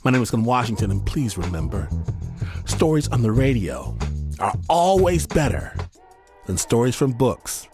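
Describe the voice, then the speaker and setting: A man hosting a storytelling show, narrating from a script in a theatrical way with deliberate dramatic pauses. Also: medium pitch, very animated, creaky, darkish tone. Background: music bed.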